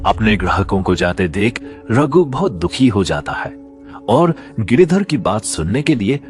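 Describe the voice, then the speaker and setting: A man narrating a scripted cartoon story as a storyteller. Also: on the low side. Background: faint music.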